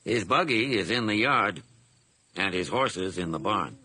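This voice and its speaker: An actor playing a stolid night watch officer in an old radio drama, questioning in a measured, official way. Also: male voice, lowish voice, lively intonation, bright, forceful tone, breathy edge, no room sound, full character voice. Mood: stern, questioning.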